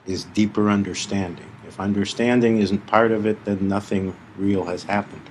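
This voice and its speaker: A man leading a live guided meditation as a spiritual teacher, speaking deliberately in short phrases with long pauses. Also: fairly low pitch, steady tone, a little mellow, slight background noise, poor audio.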